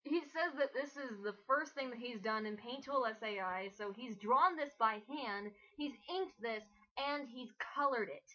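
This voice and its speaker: A woman's voice: fairly high pitch, normal speed, few pauses, bright, forceful tone. A woman hosting a casual, mocking commentary, jokey and exasperated, with frequent swearing. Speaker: host of a dramatic reading commentary. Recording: dull lo-fi home recording.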